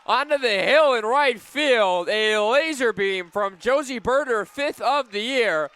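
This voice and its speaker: A man doing live play-by-play for a softball radio broadcast outdoors, punchy on big plays and conversational between them. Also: very high voice, expressive, unhurried, clear, resonant tone, dry recording, some chatter behind. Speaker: play-by-play sports announcer.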